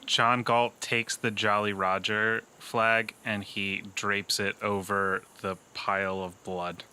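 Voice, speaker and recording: A man's voice: lowish voice, level tone, takes it slowly, bright, forceful tone, clean tone, rough recording. A man playing a tabletop game on a podcast, improvising in character and narrating with a dry, resigned delivery.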